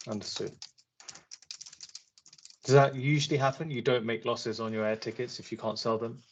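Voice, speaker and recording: A man's voice: mid-range voice, steady tone, moderate pace, highs cut off, a little hiss or hum. A man asking questions as an investor on a conference call, polite and hesitant, often rephrasing himself.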